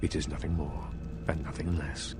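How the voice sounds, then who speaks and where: An actor playing a courteous, scholarly vampire regent in a video game, speaking formally with measured, old-fashioned politeness. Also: male voice, a bass voice, flat delivery, loud music behind the voice.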